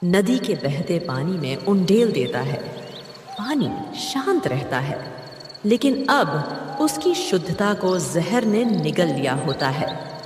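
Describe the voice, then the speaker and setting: A woman's voice: fairly low pitch, sing-song, slow pace, a little mellow, a little hiss or hum. A woman narrating a scripted story in a dramatic storytelling style. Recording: echoey reverb over faint music.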